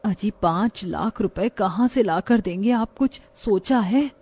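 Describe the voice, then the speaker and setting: A woman's voice: mid-range voice, a little slow, a little mellow, husky. A woman voicing a worried middle-aged village wife in a cartoon drama, anxiously questioning her husband.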